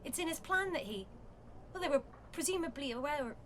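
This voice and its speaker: An actress playing a blunt, sardonic pregnant schoolteacher with a dramatic way of telling things, in an audio play. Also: female voice, high voice, expressive, a little fast, fairly bright tone, a little hiss or hum. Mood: flustered.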